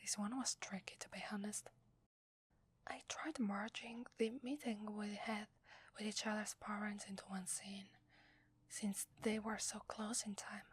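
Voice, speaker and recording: A woman with an Italian accent playing a tender, secretive werewolf wife in an ASMR roleplay, in a soft, hesitant whisper. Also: mid-range voice, flat delivery, unhurried, breathy edge. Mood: tender, excited.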